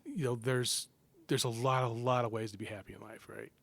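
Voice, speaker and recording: A middle-aged man from Southern California, a psychology professor telling stories as a guest in a casual interview, joking at his own expense with quick asides. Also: medium pitch, gravelly. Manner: animated, self-deprecating jokes, quick asides.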